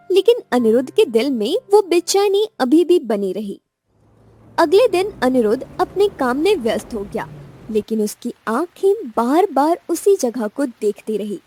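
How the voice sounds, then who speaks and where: A woman narrating a scripted romantic audio story in an expressive storytelling manner. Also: high-pitched, no room sound.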